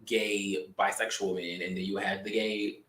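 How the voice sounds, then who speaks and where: A man co-hosting a casual talk show, talking in an animated, expressive way with drawn-out emphasis. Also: on the low side. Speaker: podcast co-host. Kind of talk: casual chat. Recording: echoey room.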